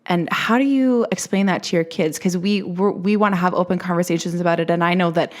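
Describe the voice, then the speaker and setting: A woman podcast host with a slightly low voice talks through a personal question in an easy, conversational way. She pauses and restarts as she searches for the right words. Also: a little mellow. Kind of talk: casual conversational interview.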